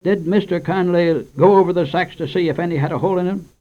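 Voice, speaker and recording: A man's voice: high-pitched, fast, pitched above the performer's own voice, close to an everyday voice. A man playing a strict rural mother in a storybook, firm and moral as she scolds her son, her voice raised somewhat above a normal reading voice. The sound is a dull, lo-fi old radio recording. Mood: questioning.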